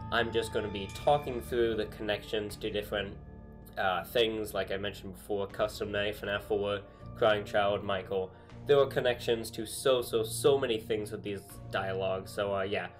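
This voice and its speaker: A young man chatting casually from his bedroom, thinking aloud with lots of fillers. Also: fairly low pitch. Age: young adult. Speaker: YouTuber analyzing game dialogue. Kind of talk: casual commentary. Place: small bedroom with string lights.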